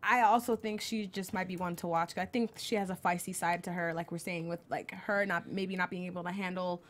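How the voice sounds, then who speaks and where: A woman's voice: on the low side, steady tone, brisk, slight background noise. A woman co-hosting a reality show recap, chatting in an easygoing, agreeable way. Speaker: co-host. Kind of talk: casual chat.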